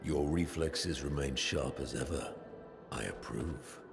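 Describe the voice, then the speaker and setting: A man voicing a courtly, duel-loving creature swordsman in a video game, speaking slowly and formally in a deep gravelly voice. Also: heavily acted. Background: game music.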